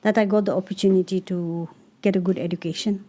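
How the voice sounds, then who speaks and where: Mellow-voiced woman. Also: medium pitch, steady tone. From Nepal. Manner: thoughtful, pausing often with "uh". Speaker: guest, cross-cultural coach. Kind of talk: conversational interview answers.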